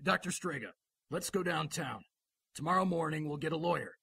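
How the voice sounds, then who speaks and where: A man's voice: a little high, expressive, brisk, creaky, pushed and bright, breathy edge, no room sound, heavily acted. A man playing a firm, dry-witted police lieutenant in a radio drama, blunt and official in manner.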